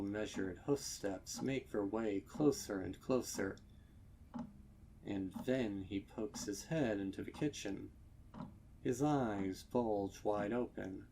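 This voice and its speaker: A man reading a dark story as scripted dramatic narration, measured and with deliberate pauses. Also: fairly low pitch, a little hiss or hum, rough recording. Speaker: fan fiction narrator.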